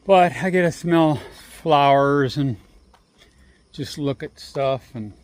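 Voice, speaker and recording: A man vlogging casually while walking outdoors, rambling and swearing freely, with long pauses. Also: on the high side, no room sound. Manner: rambling, profane, drawn-out pauses. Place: outdoors on a walk. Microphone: handheld phone.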